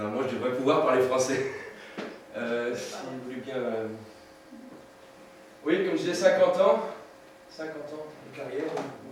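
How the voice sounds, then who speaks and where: A man in his sixties from France, giving an informal talk with a slideshow about his photography, hesitating often with fillers and restarts. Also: medium pitch. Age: 60s. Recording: distant mic in echoey room with noise.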